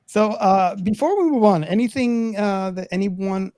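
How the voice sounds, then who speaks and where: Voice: mid-range voice, expressive, unhurried, darkish tone, no echo, studio quality. A podcast host talking casually while guiding a group conversation. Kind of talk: casual hosting chat.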